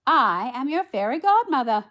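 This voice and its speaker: A woman's voice: sits high, sing-song, moderate pace, a bit bright, pitched above the performer's own voice. A woman voicing a kindly, magical fairy godmother in a storybook read aloud, theatrical and exclamatory. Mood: kindly, bustling. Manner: exclamatory, theatrical, sing-song.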